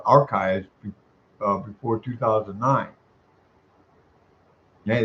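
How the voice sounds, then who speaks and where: An older man making an informal complaint call, emphatic and aggrieved, repeating himself as he rambles. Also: fairly low pitch. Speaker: caller, tenant making a complaint. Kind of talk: informal phone complaint, venting. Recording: dull lo-fi sound with background noise.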